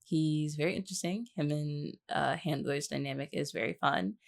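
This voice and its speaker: A woman's voice: sits low, normal speed, vocal fry. A woman in a casual chat, talking in a blunt, informal, teasing way. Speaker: podcast co-host, voice actor.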